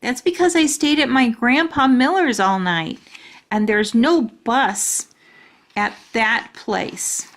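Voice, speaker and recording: A woman acts out a small, indignant schoolgirl from a children's storybook. She speaks slowly and emphatically, blurting out blunt remarks in childlike grammar. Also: a little high, a bit bright, pitched above the performer's own voice. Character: indignant, blunt kindergarten girl narrating her school day.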